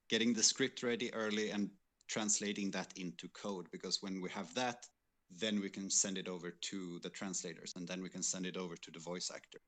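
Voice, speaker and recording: A man giving measured, methodical explanations as a game programmer on a livestream. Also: sits low, fairly bright tone. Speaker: game programmer on a development team.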